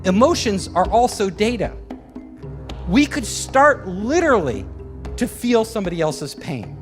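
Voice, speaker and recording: A man with a notably high voice delivers a lecture. He keeps it conversational and breaks into brief chuckles. Faint music plays underneath. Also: sing-song, measured pace. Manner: chatty, chuckles between points. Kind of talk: public lecture.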